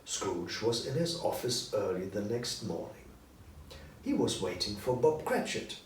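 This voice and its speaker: A man reading a story as narrator, in an expressive, deliberate scripted style. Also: lowish voice. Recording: roomy echo, distant mic, faint noise.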